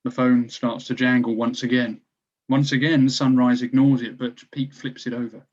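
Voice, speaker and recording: A man's voice: mid-range voice, little pitch movement, normal speed, muffled recording. A man reading stage directions as scripted narration for a screenplay table read.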